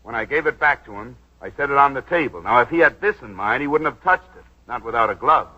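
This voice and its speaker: An actor with an American accent playing a cynical, defiant lawyer framed for murder in a 1940s radio drama, terse and wisecracking. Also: male voice, mid-range voice, lively intonation, quick pace, bright, forceful tone, husky. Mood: defiant, urgent. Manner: terse, world-weary, wisecracking. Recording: dull vintage 1940s radio recording.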